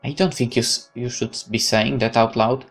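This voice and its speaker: A man's voice: medium pitch, steady tone, moderate pace, faint music behind. A man reading a visual novel aloud as a let's play host, with informal muttered asides and interruptions to himself.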